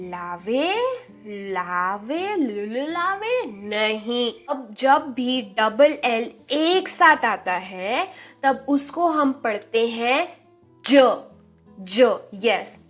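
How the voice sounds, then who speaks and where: A woman from India teaching Spanish in an online lesson. She repeats each word slowly and draws out the sounds. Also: on the high side, fairly bright tone. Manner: slow, drawn-out pronunciation drills, repeating words.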